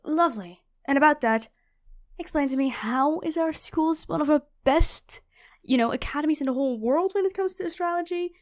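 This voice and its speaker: A woman playing a nerdy, chatty young student in an audio roleplay, expressive and flustered, often apologizing and correcting herself. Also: high voice, moderate pace, lightly acted. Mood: curious, awkward. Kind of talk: audio roleplay acting addressed to the listener.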